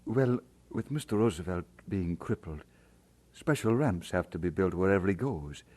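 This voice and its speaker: An actor playing an earnest, modest Scottish minister in an audio drama, with a husky voice and expressive delivery. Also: male voice, deep voice, normal speed.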